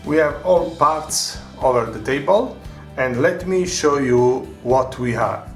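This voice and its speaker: A middle-aged man from Italy with an Italian accent, slowly showing the parts of a radio kit, often pausing on filler sounds. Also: mid-range voice. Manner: slow, careful, many filler sounds. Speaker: hobbyist presenter. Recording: echoey room, faint music behind.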